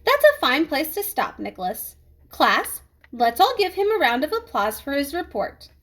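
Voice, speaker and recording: A strict woman schoolteacher from a children's storybook, played by a woman reader. She speaks in a high, lively voice, measured and polite, with controlled authority. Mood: pointed praise. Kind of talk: children's storybook read-aloud.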